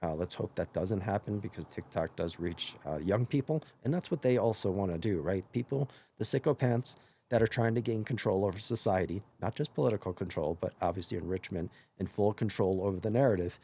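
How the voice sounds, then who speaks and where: Hoarse guy doing a live stream at home, low mellow voice, rambles and keeps correcting himself. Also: lively intonation, a little fast. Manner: earnest, rambling, often self-correcting. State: hoarse after illness. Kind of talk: casual live-stream monologue.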